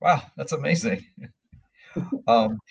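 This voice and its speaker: A man hosting an interview podcast, asking questions in a friendly, halting way with frequent fillers. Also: medium pitch, gravelly, husky, rough recording. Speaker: podcast host.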